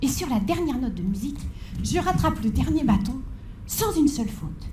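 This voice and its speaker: A woman in her forties tells her story from a stage, her voice pitched high and carrying through an echoey hall. She shifts between dramatic build-up and wry, playful asides to the audience. A faint hum of background noise sits under her words. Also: big pitch swings, moderate pace. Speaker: conference speaker.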